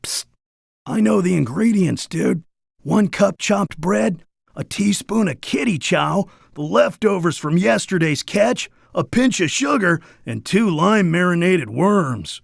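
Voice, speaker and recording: An actor playing a laid-back, boastful surfer dude creature in a cartoon game, talking in upbeat beach slang. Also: male voice, sits high, lively intonation, unhurried, creaky, no echo, clean studio sound, heavily acted. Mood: cool, playful. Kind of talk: animated game character dialogue.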